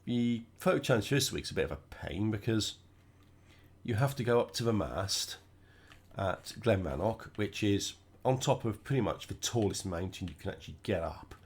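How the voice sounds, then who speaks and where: A man talks through his racing game in a relaxed, chatty way, his voice croaky and stuffed up from a cold. He sits a little low in pitch and keeps thinking out loud as he drives. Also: normal speed. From United Kingdom. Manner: relaxed, chatty, thinking aloud while driving. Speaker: gaming channel host. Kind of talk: casual gameplay commentary.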